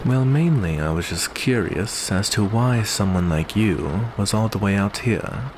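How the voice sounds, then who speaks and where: Man playing a low, unhurried vampire gentleman. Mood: gentle, courteous. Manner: unhurried, genteel, uses endearments. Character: courteous, smooth male vampire host with hidden predatory menace. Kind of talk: ASMR roleplay, soft close monologue. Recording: clear steady background noise.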